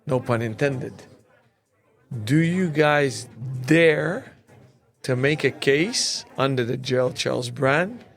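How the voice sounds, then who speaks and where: A man with a noticeably creaky, gravelly voice hosts a conversational interview. He speaks at a middle pitch and lays out his points in a deliberate way. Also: faint music behind. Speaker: interview show host.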